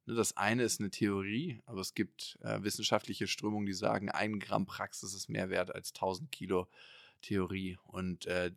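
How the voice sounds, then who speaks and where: A man in a relaxed podcast conversation, talking in long loose trains of thought with many fillers. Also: on the low side, normal speed, gravelly, no room sound, studio quality. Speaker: podcast guest and entrepreneur.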